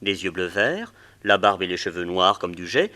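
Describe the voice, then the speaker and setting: An actor playing a nervous, excitable male museum director in a radio drama, often exclaiming in worry. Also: low voice, normal speed, echoey room. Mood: worried.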